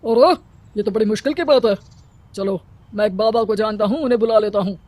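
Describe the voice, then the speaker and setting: A woman's voice: mid-range voice, a little fast, a little mellow, no echo, lightly acted. A woman voicing a domineering, scheming male village headman in a cartoon story, bossy and scolding. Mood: ominous.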